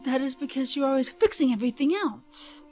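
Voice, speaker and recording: A woman's voice: sits high, expressive, fairly quick, a little mellow, soft background music. A woman acting in an audio drama as an earnest, moral young travelling companion, emotive and easily alarmed. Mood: matter-of-fact.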